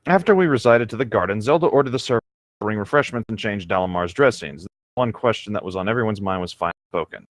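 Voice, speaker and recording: A man's voice: on the low side, expressive, quick pace, no room sound. A man co-hosting a casual comedic read-aloud, narrating and bantering. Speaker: co-host reading aloud.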